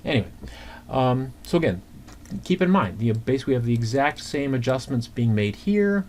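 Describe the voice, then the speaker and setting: Over clear background noise and keyboard clicks, a man with a medium-pitched voice teaches accounting in an informal screencast. He works through figures step by step, conversationally and haltingly. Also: big pitch swings, darkish tone. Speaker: accounting instructor. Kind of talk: informal screencast lesson, explaining worked calculations. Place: indoors at a computer.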